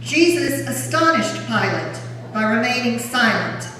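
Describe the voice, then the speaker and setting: A woman's voice: a little high, sing-song, unhurried, pushed and bright. A woman narrator giving a solemn, formal dramatic reading of scripture. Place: large church during a service. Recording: echoey church hall, distant, faint noise.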